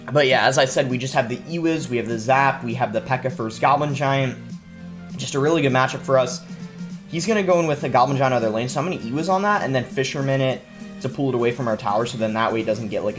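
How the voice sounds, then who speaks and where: A young man giving relaxed play-by-play commentary as a gaming streamer at his desk, his voice a little stuffy and sore-throated from a cold. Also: medium pitch. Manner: relaxed, explanatory play-by-play with many fillers. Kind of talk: live gameplay commentary. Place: indoor room at a gaming desk.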